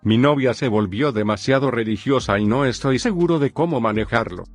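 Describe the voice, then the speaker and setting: A man's voice: medium pitch, a little fast. Man narrating a story over music. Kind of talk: reading a forum story aloud. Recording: faint background music.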